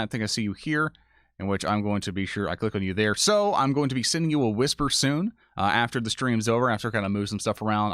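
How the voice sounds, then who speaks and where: A man's voice: on the low side, a bit bright, no echo, clean studio sound. A man hosting a casual livestream, chatting to viewers in an effusive, rambling and thankful way. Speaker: stream host.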